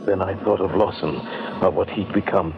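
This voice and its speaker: An actor playing a haunted, earnest man narrating an old-time radio drama with measured, brooding, halting delivery. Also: low-pitched, fairly even intonation. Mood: torn, awed. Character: haunted, earnest male narrator resolved to destroy a pagan grove. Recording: dull, band-limited vintage radio recording.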